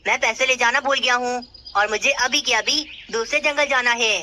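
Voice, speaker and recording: A woman's voice: on the high side, steady tone, moderate pace, bright, forceful tone. A woman voicing a falsely friendly male pigeon in a children's cartoon. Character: kite slyly imitating a friendly male pigeon. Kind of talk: Hindi children's cartoon dialogue. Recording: birdsong noise behind.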